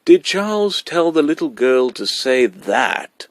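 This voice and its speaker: A dry, cynical father in a storybook, acted by a man. He talks in a measured, wry way with a creaky voice, recorded close with no echo. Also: a little high, sing-song, voice pushed up, exaggerated voice. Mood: curious.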